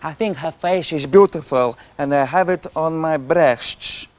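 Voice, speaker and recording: A man's voice: a little high, a little slow, lightly acted. A middle-aged female superfan, besotted and breathless, gushing over her idol in a slightly raised voice, as a man acts her out. She talks in a dreamy, infatuated murmur, playing it for laughs on a TV comedy sketch.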